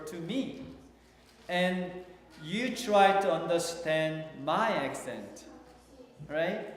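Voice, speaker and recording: A Korean-accented man preaches slowly, feeling his way through each sentence with fillers and restarts. His voice sits a little high for a man. It rings through a big reverberant church hall, with faint noise behind it. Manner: slow, halting, with many fillers and restarts. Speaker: pastor. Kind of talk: sermon. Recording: echoey hall from far off.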